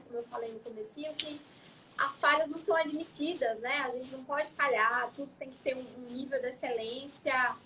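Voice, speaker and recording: A woman's voice: high voice, fairly bright tone, a little hiss or hum, poor audio. A young adult woman, a psychologist, talking in a casual interview, reflective and often adding tag questions. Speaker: psychologist guest.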